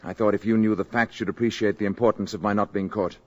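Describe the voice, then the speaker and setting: Guy playing a calm alien visitor, super deep voice, solemn, old radio drama. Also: muffled recording, close to an everyday voice. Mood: grave. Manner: measured, solemn, urgent at times. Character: calm grave alien visitor in human guise. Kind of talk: 1950s radio drama acting. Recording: old radio broadcast recording.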